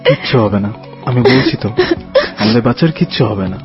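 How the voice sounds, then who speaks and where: A man acting as a young husband and father in an audio drama. He sounds caring and soothing, holding worry under a steady manner. The audio is a little dull, with faint music behind him. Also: medium pitch, quick pace, close to an everyday voice. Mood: reassuring. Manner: soothing, reassuring.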